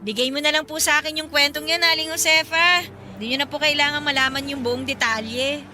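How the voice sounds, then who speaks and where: High, bright, sharp-tongued young mother. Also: steady tone, brisk. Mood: sarcastic, annoyed. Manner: sarcastic, indignant retorts. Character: defensive young single mother home from Manila, sharp-tongued. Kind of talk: Tagalog radio drama acting. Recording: background music under dialogue.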